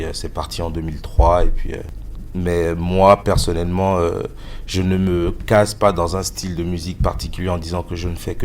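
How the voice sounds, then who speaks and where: A man in his thirties, a musician answering interview questions in a hesitant way, with many pauses and fillers. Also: low-pitched, slight background noise. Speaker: musician guest.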